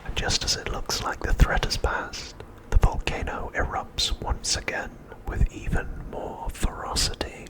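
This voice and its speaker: A man narrating a script as ASMR in a breathy, unvoiced whisper, softly and deliberately, pausing often between phrases. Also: rough recording. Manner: soft, deliberate, with frequent pauses between phrases.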